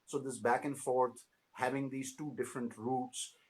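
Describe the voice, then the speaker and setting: A man's voice: mid-range voice, steady tone, normal speed, darkish tone. Thoughtful man in his late thirties. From Pakistan. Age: 30s. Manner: thoughtful, many fillers and restarts, slips into Hindi-Urdu phrases. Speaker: interview guest, blogger. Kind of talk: reflective conversational interview.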